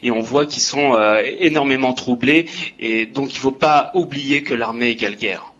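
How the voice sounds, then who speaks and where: A man's voice: medium pitch, normal speed, fairly bright tone, muffled recording. A man giving spontaneous spoken commentary as a guest on a talk show. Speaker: regular guest giving a reaction.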